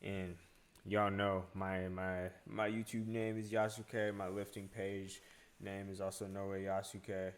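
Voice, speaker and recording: Deep-voiced, flat, laid-back young man. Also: slow, clean tone. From grew up in Asia (Hong Kong, UAE, India). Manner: laid-back, chatty, slangy. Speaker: YouTuber reacting to a trailer. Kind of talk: casual reaction video.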